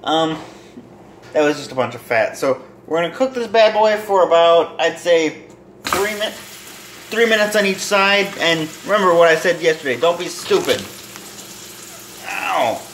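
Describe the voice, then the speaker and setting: Young guy doing a cooking vlog, kinda high voice, rambles and stalls, room sounds echoey. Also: expressive, fairly bright tone. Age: 20s. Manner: halting, rambling, self-deprecating. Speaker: home cooking vlogger. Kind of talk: casual cooking demonstration to camera. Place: small home kitchen and dining room. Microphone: handheld or propped phone near arm's length. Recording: roomy echo, kitchen noise with sizzling.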